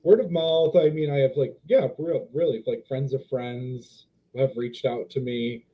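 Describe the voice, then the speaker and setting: A man's voice: medium pitch, a little slow. A man in his twenties, a dog trainer guest on a casual podcast, who talks expressively but often hesitates to find his words. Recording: slightly dull lo-fi sound.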